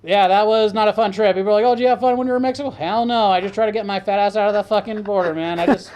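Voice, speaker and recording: A man's voice: high-pitched, fairly even intonation, very fast, a little mellow, a little hiss or hum. A man chatting casually as a talk show guest, telling stories in a laid-back, sardonic way with plenty of swearing. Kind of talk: casual chat, storytelling.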